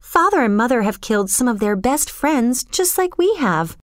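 A woman's voice: fairly high pitch, very animated, moderate pace, no room sound, clean studio sound, pitched above the performer's own voice. A woman storyteller voicing a spoiled rich young girl, emotional and blithely callous, in a dramatic, pleading manner. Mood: breezy, defensive.

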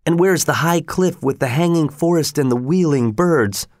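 A man voicing a young Hawaiian man, bewildered and curious, with a high, rising, wondering voice. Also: normal speed, a little mellow, no room sound, studio quality, close to an everyday voice.

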